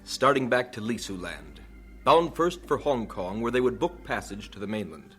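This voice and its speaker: A man's voice: lowish voice, sing-song, moderate pace, fairly bright tone. A man narrating a scripted radio drama as the storyteller between scenes. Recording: radio studio recording with music underneath. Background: clear music.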